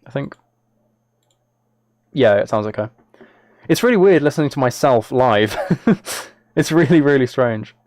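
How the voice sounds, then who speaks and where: A man's voice: mid-range voice, animated, unhurried, long pauses, darkish tone, no echo, studio quality. A man live streaming, talking casually and a little hesitantly to his viewers as he reads chat and reacts.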